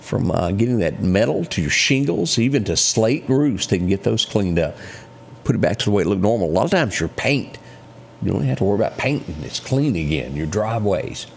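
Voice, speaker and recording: A man's voice: lowish voice, fairly quick, creaky, slight background noise. A middle-aged man hosting a morning show, chatting and reading ads in a folksy way. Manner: folksy, lively, reads ads conversationally. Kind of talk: casual chat and live ad reads. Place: small living-room style studio set, indoors.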